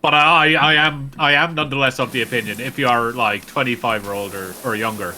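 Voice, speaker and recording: A man's voice: medium pitch, fairly quick, bright, forceful tone, slight background noise. A man in his late twenties chatting casually on a game stream, with wry humor and frequent fillers. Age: late 20s. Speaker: co-host. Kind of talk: casual chat while gaming.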